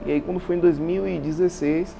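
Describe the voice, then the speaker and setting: A man's voice: a little high, fairly even intonation, brisk, creaky. A man with a Bahian Brazilian accent telling his own story informally as an interview guest, repeating phrases and often adding tag questions. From Salvador, Bahia, Brazil. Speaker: interview guest, installation business owner. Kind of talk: informal personal account. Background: background music.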